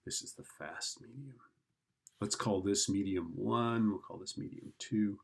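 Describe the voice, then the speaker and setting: A man with a fairly low, gravelly voice gives an informal lesson. He talks through each step as if thinking aloud, in a casual teacher's manner, often checking that the listener follows. His tone has a husky edge. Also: unhurried. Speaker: physics teacher. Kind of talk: informal worked-problem explanation.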